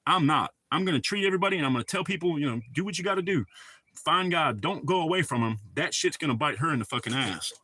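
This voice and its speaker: A middle-aged man vents on his livestream, cursing freely as he rambles through a story. His voice sits a little high and sounds bright and forceful. The sound is dry and close, with no room echo. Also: lively intonation, fast talker. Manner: profane, rambling, heated storytelling. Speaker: livestream host. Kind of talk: casual ranting chat.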